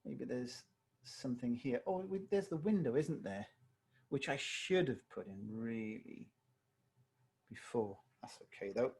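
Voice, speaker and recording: A man with a slightly hoarse voice, teaching watercolor live on a video call and thinking aloud as he paints. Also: mid-range voice, a little slow. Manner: relaxed, thinking aloud while painting, self-correcting. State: slightly hoarse, losing his voice. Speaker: watercolor tutor. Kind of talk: live online painting tutorial.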